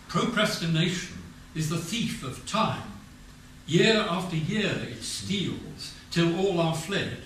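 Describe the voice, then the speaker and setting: Casting: English man, 70s, highish voice, declaiming poetry very slowly in an echoey room. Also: a bit bright. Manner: measured, declamatory. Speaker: reader of poetry at a talk. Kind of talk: formal poetry recitation. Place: community meeting room with audience. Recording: very echoey room, distant mic, faint noise.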